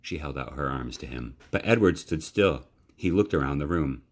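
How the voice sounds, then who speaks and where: A man reading a children's story aloud in a measured, dramatic, expressive narration. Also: a bass voice.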